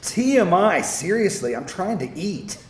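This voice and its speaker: A man's voice: high voice, very animated, unhurried. A man acting a put-off, baffled boyfriend in a live screenplay reading. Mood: disgusted, accusing. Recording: echoey, noisy room, distant mic.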